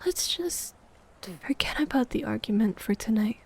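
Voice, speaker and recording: A woman's voice: fairly high pitch, expressive, normal speed, vocal fry, darkish tone, breathy edge, full character voice. A woman playing a jealous, needy, wounded girlfriend in an ASMR roleplay audio drama, sighing and often dropping toward a whisper. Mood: tender, pleading.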